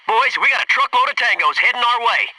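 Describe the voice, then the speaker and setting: An actor playing a focused, businesslike Navy SEAL in a military video game, giving terse, clipped tactical callouts and matter-of-fact reports. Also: male voice, very high voice, animated, a little fast, far from the mic, noisy background. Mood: urgent.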